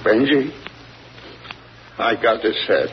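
An actor playing a grieving old man, speaking in a slow, halting, folksy drawl. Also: lowish voice, creaky. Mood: sorrowful. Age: older. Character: grieving old rural hound-dog man. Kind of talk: 1940s radio acting. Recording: dull 1940s radio broadcast, faint hiss.

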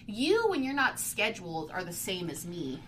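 A woman's voice: mid-range voice, big pitch swings, normal speed, a crowd in the background. A woman in her twenties, co-hosting in casual chat, talking loosely with lots of "like" and a stuffy, congested sound. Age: 20s. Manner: chatty, fills speech with "like". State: congested from sinus trouble. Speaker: podcast co-host.

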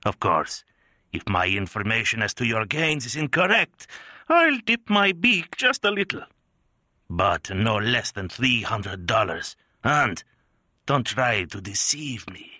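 A man voicing a brutal, smug older mafia extortionist with a thick Sicilian accent, speaking in slow, oily threats. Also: fairly low pitch, very animated, pushed and bright, dry recording, clean studio sound, pitched above the performer's own voice, exaggerated voice. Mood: menacing. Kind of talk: audiobook character voice.

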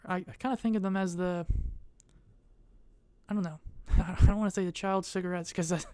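Young woman rambling on camera, monotone, low-ish smooth voice, lots of ums. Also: fairly quick, no echo. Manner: monotone, hesitant, lots of fillers. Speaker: vlogger. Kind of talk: casual rambling chat.

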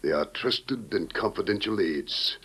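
An actor playing a grave, dignified president with solemn, weighty oratory in an old-time radio drama. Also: male voice, fairly low pitch, lively intonation, a little slow, pushed and bright, breathy edge, exaggerated voice. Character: grave, dignified American president briefing a secret agent.

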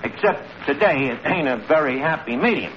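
An actor playing a breezy, mock-learned New York tavern manager with mangled grammar, in 1940s radio comedy style. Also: male voice, fairly high pitch, lively intonation, a little fast, close to an everyday voice. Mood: pompous, lecturing. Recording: noisy, muffled old radio broadcast, audience.

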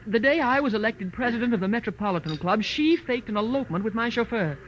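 A woman's voice: a little high, expressive, quick pace, close to an everyday voice. An actress playing a haughty, commanding rich society woman in 1940s radio drama style. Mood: indignant, bitter. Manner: crisp, commanding, disdainful. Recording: dull, noisy old radio recording.

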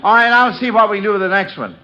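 A man's voice: sits high, racing. A man hosting a 1940s radio quiz show, coaxing children along in an avuncular way and often repeating himself. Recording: dull, band-limited vintage radio recording.

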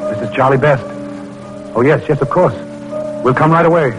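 An actor playing an earnest, kindly medical researcher with a brisk manner in a 1940s radio drama. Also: male voice, medium pitch, close to an everyday voice, rough recording. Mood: eager. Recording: old radio recording under loud music.

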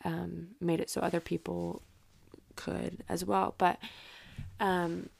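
A woman's voice: fairly low pitch, level tone, slow pace, gravelly, a little mellow, husky. A woman hosting a solo podcast, telling history in a casual, informal way with rambling asides and self-interruptions. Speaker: podcast host.

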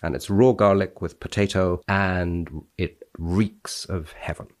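A man speaking as a podcast guest, wry and expressive, with a dryly playful touch. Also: low voice, measured pace. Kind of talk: dramatic reading and wry conversation.

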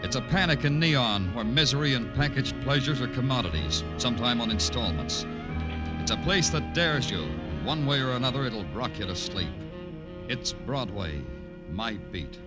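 An actor playing a weary, hardboiled police detective, brooding and poetic in the style of 1950s radio noir. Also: male voice, mid-range voice, expressive, moderate pace, lightly acted. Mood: brooding, intense. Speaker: detective narrator and lead. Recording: old radio recording with music behind.

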